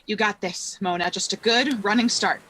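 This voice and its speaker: A woman's voice: mid-range voice, moderate pace, sharp tone, rough recording. A young adult woman playing a dreamy, curious, playful young woman with a hesitant, wry manner in a live play reading. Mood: light, evasive.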